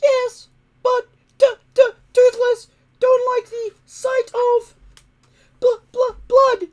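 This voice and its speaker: A man's voice: completely flat delivery, slow, darkish tone. A man voicing a sulky, timid little storybook dragon that whines and stammers in broken speech. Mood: queasy whining. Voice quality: stammering, squeaky.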